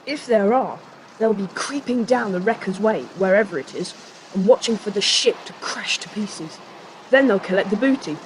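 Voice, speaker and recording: An actor voices a sensible, take-charge schoolboy in a children's audio drama. The voice is brisk and well-spoken, at a medium pitch. Noisy ambience runs clearly behind it. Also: lightly acted. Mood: brisk, intrigued. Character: sensible, confident schoolboy, leader of young adventurers.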